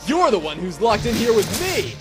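A man's voice: high voice, sing-song, moderate pace. A man playing a cocky, wisecracking young demon hunter in a sarcastic parody game dub. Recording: game music under the voice.

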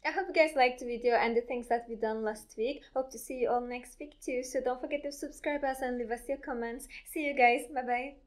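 High-voiced, bubbly young woman. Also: fairly even intonation, fairly quick, few pauses. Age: young adult. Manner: bubbly, exclaiming, laughs often. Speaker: studio vlog host. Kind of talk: casual walkthrough chat. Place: painting studio workshop, indoors. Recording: noisy workshop recording.

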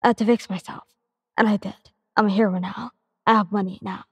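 A woman's voice: mid-range voice, steady tone, fairly quick, husky, no room sound, big-room sound. Woman voicing a desperate young male hero. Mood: pleading. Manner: pleading, emotional.

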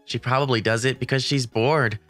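A woman's voice: extremely low pitch, fairly even intonation, brisk. A woman voicing a timid, kindhearted teenage boy who narrates his story and stammers when flustered, in a manga comic dub. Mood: wistful narration. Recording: background music under the voice.

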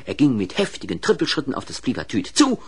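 A man of medium pitch tells a children's story as a radio play narrator. His delivery is vivid and dramatic, with lively rises and falls. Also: fast, husky.

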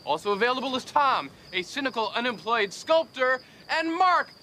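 Bright, high-voiced sarcastic pessimist. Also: male voice, animated, brisk, a little hiss or hum. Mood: loud mockery. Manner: sarcastic, dry-witted. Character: anxious, sarcastic male teacher, a pessimistic buddy. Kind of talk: film comedy dialogue.

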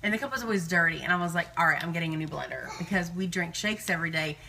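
Brief: female live-stream host, thirties, bright forward voice, informal rambling chat, faint kitchen noise behind. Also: fairly low pitch, rapid-fire, creaky. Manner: chatty, digressive, informal. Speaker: live-streaming vlogger and meal-prep host. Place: home kitchen, indoors.

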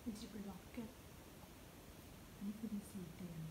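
Hushed woman giving a hostel tour. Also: mid-range voice, steady tone, normal speed. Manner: hushed, half-whispered commentary. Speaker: vlogger touring a hostel. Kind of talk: casual handheld tour narration. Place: indoor hostel rooms and stairwells. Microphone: handheld phone camera. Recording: echoey rooms with background noise.

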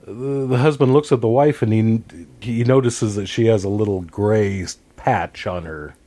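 A man talking casually as a podcast guest, explaining things in a halting way and correcting himself as he goes. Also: fairly low pitch, dark tone. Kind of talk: casual conversational movie discussion, retelling a story.

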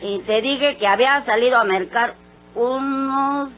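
A woman's voice: sits high, a little slow. An actress playing a drunken, defiant, bitter woman with a Mexican accent and rural slang in an old radio soap. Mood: bitter, confessing. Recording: muffled, noisy old radio recording.

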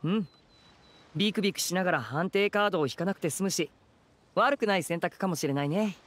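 High-voiced, playful young man. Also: fairly quick, fairly bright tone. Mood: easygoing. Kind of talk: anime video game acting.

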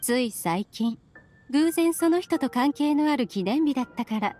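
A woman voicing a courteous, mysterious traveller in an anime game, composed and measured. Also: high-pitched, animated, warm tone. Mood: composed, inquisitive. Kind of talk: anime game character acting. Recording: game music behind the voice.

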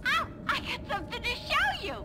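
A woman's voice: extremely high pitch, sing-song, normal speed, over loud music. A woman playing a bubbly, mocking young villain henchwoman in a video game, playful and slangy as she taunts. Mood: gleeful taunting.

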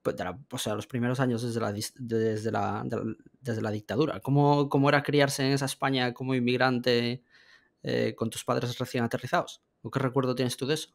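Male podcast host, thirties, mid pitch, curious questions, studio mic on a video call. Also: fairly even intonation, normal speed, creaky. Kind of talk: casual interview. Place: small room, indoors. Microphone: studio mic on a stand, video call.

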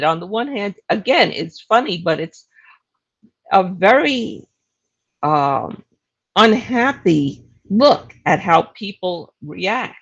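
An older woman hosts a casual live interview. Her voice is creaky and gravelly, and her delivery is conversational. It comes through a video call with somewhat dull, lo-fi sound. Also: mid-range voice. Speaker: interview moderator.

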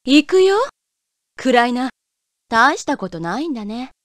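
A high, dry-recorded voice of a young female android fighter for an anime fighting game. She is confident and dismissive, and her pitch moves widely as she taunts her opponent. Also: very animated, measured pace, no room sound. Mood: cocky, bored.

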